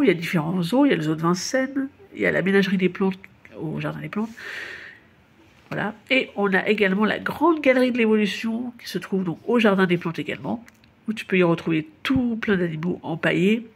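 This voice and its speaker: Gravelly, husky-voiced woman chatting casually. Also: mid-range voice, fast. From Paris, France. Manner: chatty and informal, laughs at her own slips. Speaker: narrator of a guided city tour. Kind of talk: casual spoken tour narration addressed to the listener.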